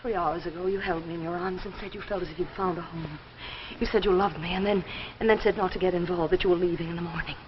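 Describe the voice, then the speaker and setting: A woman's voice: on the low side, fairly even intonation, fast, few pauses, natural voice. A woman playing a lovesick, pleading young sweetheart in 1950s television melodrama style, earnest in manner. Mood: hurt, pleading. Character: lovesick, pleading young woman clinging to her departing lover. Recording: muffled, noisy 1950s broadcast recording.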